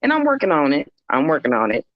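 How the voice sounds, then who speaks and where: Blunt American woman with a bassy voice. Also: wildly swooping pitch, fast talker, dry recording. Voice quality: deep, bassy. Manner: blunt, hard-talking, sweary. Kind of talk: casual panel discussion. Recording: slightly dull, lo-fi, dry sound.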